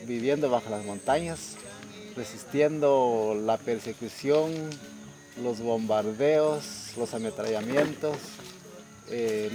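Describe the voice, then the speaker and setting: Earnest middle-aged man giving testimony. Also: medium pitch. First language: Kaqchikel. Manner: steady, earnest, with pauses. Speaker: community resident sharing testimony. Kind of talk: testimony interview.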